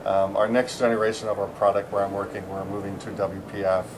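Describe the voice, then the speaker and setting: Rambling low-voiced American man. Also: flat delivery, fast talker, noisy background. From San Diego, USA. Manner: chatty, rambling with many fillers and restarts. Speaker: interviewee, software engineer. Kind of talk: casual conference interview. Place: busy conference venue.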